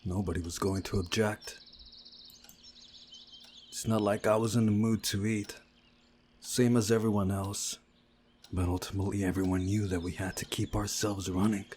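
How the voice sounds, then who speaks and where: A man reading a visual novel aloud as a playthrough narrator, in a deliberate storytelling manner. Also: fairly low pitch, flat delivery, darkish tone, slight background noise.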